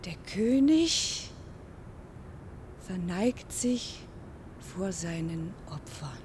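A woman's voice: lowish voice, expressive, extremely slow, a little hiss or hum. An actress in a radio play playing an overwrought, dreamy woman who talks to statues in a dramatic monologue. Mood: hushed reverie. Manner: dramatic, swinging from breathy whispers to shouts.

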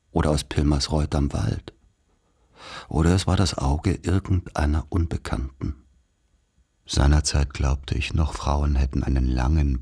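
A man narrating a literary radio play in the first person as someone recalling a rural childhood, measured and reflective. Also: very deep voice, warm tone, breathy edge, full character voice.

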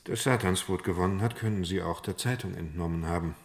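Sober low-voiced defence lawyer. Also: male voice. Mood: dry, frank. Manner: measured, matter-of-fact, questioning. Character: sober, pragmatic male defence lawyer, frank and probing. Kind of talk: German crime radio play acting.

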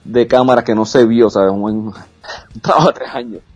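A man's voice: mid-range voice, moderate pace, a little mellow, breathy edge. Man, esports caster, frank, self-assured, restarts, faint music behind. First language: Spanish. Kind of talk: casual podcast interview.

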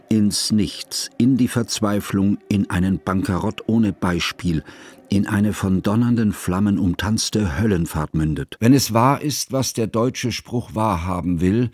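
A man's voice: low-pitched, a little mellow, breathy edge. A man playing a grave, bookish, sorrowful older chronicler, narrating formally and solemnly in a literary radio play. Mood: sombre, despairing.

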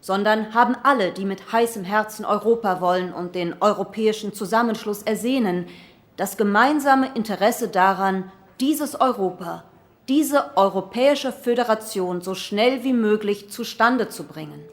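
A woman's voice: mid-range voice, moderate pace, natural voice. An actress playing an earnest female politician giving an urgent, formal speech to parliament in a 1940s radio documentary drama.

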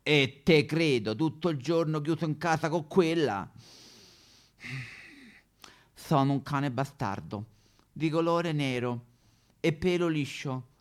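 A man's voice: a little high, slow pace, long pauses. A man voicing a bitter, fearful mongrel dog in a satirical stage monologue, grumbling in colloquial Roman-accented Italian. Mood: bitter, resigned. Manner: grumbling, colloquial, exaggerated complaining.

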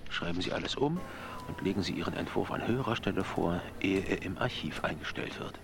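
An actor playing a party clerk musing matter-of-factly in a gravelly voice, as inner monologue in a radio drama. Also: male voice, low-pitched, animated, brisk, background noise.

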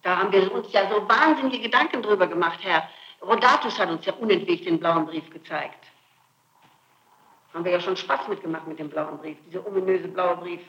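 A woman's voice: lowish voice, a little fast, fairly bright tone. A middle-aged woman being questioned on an old interrogation tape, answering defensively and insistently, breaking off and repeating her phrases. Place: indoor room, archival interrogation tape. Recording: muffled, noisy 1960s tape in an echoey room.

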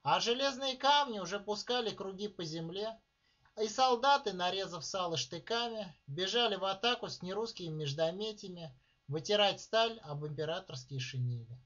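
A man reading his own short story aloud in a measured, deliberate way, with dramatic pauses, at a live reading. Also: high-pitched, expressive, a bit bright. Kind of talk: literary reading of prose. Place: live reading at a writers' club. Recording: dull lo-fi recording.

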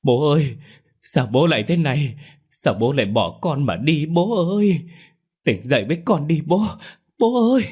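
A man's voice: very animated, normal speed, a little mellow, slightly rough. A man putting on a sobbing, wailing grown son. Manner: high wailing sobbing cries. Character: grieving adult son wailing for his dying father.

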